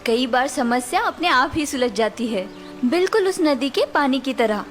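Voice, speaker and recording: A woman voicing an older village mother who gives calm, patient advice to her daughter. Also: sits high, moderate pace, faint music behind. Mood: gentle advising.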